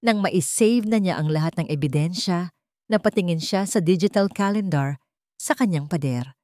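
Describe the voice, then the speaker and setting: A woman narrating a scripted story in a melodramatic way, with deliberate short phrases. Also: on the low side, mellow, dry recording, studio quality.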